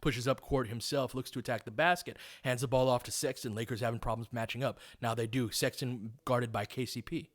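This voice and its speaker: A man's voice: medium pitch, steady tone, brisk, hardly any pauses. A man giving live, informal basketball play-by-play as a partisan fan, breaking down tactics in detail as he goes. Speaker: play-by-play commentator.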